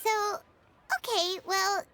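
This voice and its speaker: A woman's voice: very high-pitched, fairly even intonation, slow pace, background noise. A woman voicing a tiny childlike companion sprite in a video game, eager and inquisitive. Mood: curious. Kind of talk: scripted game dialogue.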